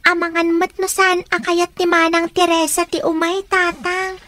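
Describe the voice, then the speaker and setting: A woman's voice: very high-pitched, level tone, normal speed, sharp tone. A woman playing a young daughter in a radio drama, girlish, plaintive and childlike as she speaks to her father. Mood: hopeful. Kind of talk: Ilocano radio drama acting.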